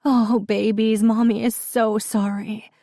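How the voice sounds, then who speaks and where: A woman's voice: fairly high pitch, level tone, takes it slowly, warm tone, dry recording, voice pushed up. A woman narrator playing an overwhelmed mother nearing forty, flustered, apologetic and close to sobbing. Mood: soothing, upset. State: on the verge of sobbing.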